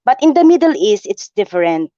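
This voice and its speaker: A woman on a panel, explaining a labor system in a formal, measured way. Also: mid-range voice, big pitch swings, dark tone, no room sound, muffled recording. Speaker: panel guest, migrant rights speaker.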